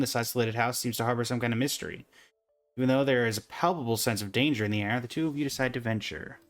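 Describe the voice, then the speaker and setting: A man's voice: mid-range voice, fairly bright tone. A man giving casual, offhand commentary as he plays a game, thinking aloud and reading dialogue. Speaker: gaming reaction streamer. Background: game music.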